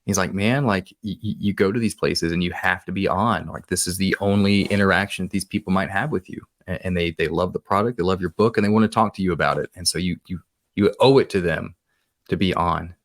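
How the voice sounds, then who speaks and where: A man hosting an interview podcast, asking relaxed questions and chatting casually. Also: sits low, brisk. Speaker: podcast host.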